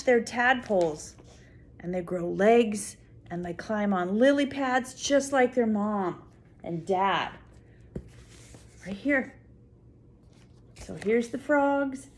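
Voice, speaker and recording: Older female, grandmotherly story-time reader. Slow pace, lively swooping intonation, expressive and wide-eyed, talking to little kids. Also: medium pitch. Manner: expressive, full of wonder, simple explanations for small children. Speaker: grandmother reading a picture book to children. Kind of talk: read-aloud story time with spontaneous asides to a child.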